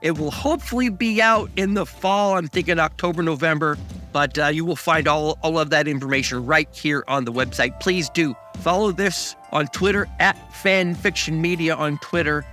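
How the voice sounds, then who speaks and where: A man speaking informally as an audio drama's creator, halting and repeating phrases. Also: on the high side, pushed and bright, faint music behind. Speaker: producer and writer of the audio drama. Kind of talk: informal thank-you message to listeners.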